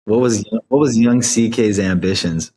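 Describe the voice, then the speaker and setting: A man's voice: on the low side, normal speed, warm tone, a little hiss or hum. A man, talking casually as the host of a podcast interview.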